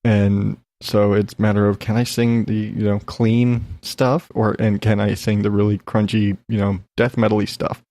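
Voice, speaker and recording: A man's voice: fairly low pitch, level tone, moderate pace, hardly any pauses, warm tone, no echo. A man hosting a solo podcast, chatting in a rambling, frank, sweary way, slightly congested as he gets over an illness. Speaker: podcast host. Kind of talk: casual solo chat, rambling personal update.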